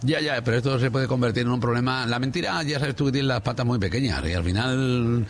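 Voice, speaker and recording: A man's voice: mid-range voice, brisk. A man with a Spanish accent from Spain chatting casually and humorously on the radio, rambling, repeating himself and checking for agreement. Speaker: radio show contributor.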